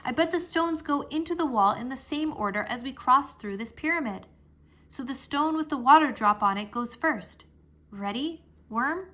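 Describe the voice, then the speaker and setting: A woman voicing an earnest, excitable young boy dragon master in a storybook, with eager delivery. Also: sits high, normal speed, pitched above the performer's own voice, heavily acted. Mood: excited, urgent.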